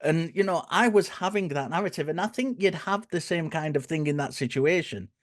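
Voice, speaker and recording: Hoarse man on a dry call. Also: a little high, a little fast, no room sound. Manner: thoughtful, restarts phrases. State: hoarse, croaky. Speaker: guest speaker. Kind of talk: conversational explanation.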